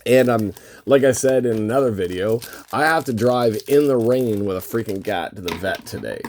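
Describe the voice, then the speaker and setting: A man with a voice worn hoarse by a cold, hosting a casual, unscripted card box opening and rambling as he thinks aloud. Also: lowish voice, normal speed, darkish tone, slight background noise. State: losing his voice from a lingering cold. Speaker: host of a trading card box-break channel.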